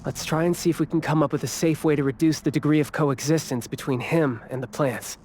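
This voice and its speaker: An actor voicing a calm, thoughtful male investigator in a video game, explaining things plainly. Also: a little high, a little fast, slight background noise, natural voice.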